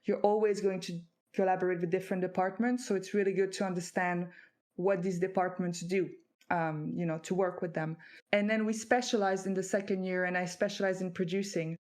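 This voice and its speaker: A woman's voice: fairly low pitch, little pitch movement, fairly quick, a little mellow. A woman from France, a native French speaker, speaking as a guest author and recounting her path in a thoughtful, reflective way with hesitant fillers. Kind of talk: conversational interview.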